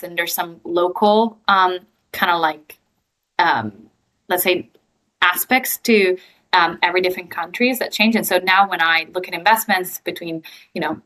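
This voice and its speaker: A young woman from Italy speaks with a clear Italian accent. Her voice sits a little low but has a bright, forward edge. She talks in a relaxed, chatty way, filling pauses with ums and likes. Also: low-quality recording. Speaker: guest, growth equity investor. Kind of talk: casual interview answers.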